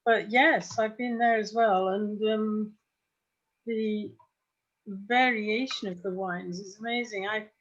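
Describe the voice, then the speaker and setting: A woman's voice: mid-range voice, fairly even intonation, slow pace. Older woman on a muffled call. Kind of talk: casual, knowledgeable chat on a group wine call. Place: home study, on a video call. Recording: muffled, dull video-call audio.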